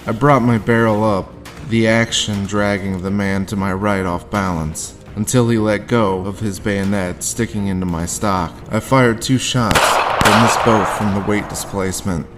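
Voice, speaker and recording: An actor playing a grim, haunted war veteran, telling his story deliberately in a noir audio drama. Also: on the low side, fairly even intonation, over music, natural voice. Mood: grim, tense. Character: haunted hard-boiled male war veteran recounting his grim past.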